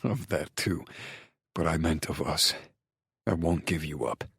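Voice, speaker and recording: A man performs a commanding romance hero for an audiobook, with a very deep voice and a dry, close sound. He is possessive yet devoted, speaking in a firm, intense, hushed growl. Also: measured pace, creaky, breathy edge, no room sound, exaggerated voice. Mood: tender, devoted. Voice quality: low growl. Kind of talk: audiobook romance character acting.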